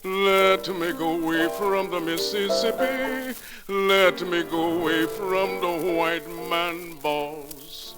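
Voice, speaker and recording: Man singing slowly over music. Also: high-pitched, fairly even intonation, rough recording. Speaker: singer. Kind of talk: slow solemn theatrical song performance. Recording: old 1930s radio broadcast recording. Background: musical accompaniment.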